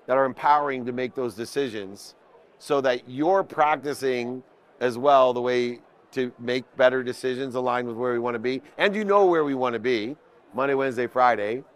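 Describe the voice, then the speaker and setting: A man's voice: medium pitch, measured pace. A man in his fifties giving an impromptu business talk as a coach, emphatic, listing points in parallel phrases. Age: 50s. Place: indoor convention booth with onlookers. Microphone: small mic clipped at the collar.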